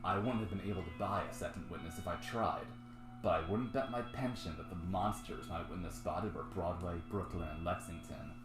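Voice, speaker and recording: A man's voice: deep voice, fairly quick, reverberant, music in the background. A man hosting a podcast, reading a comic's narration aloud and chatting casually about it. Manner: reads narration flatly, bursts into laughing comments. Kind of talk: casual table reading and chat.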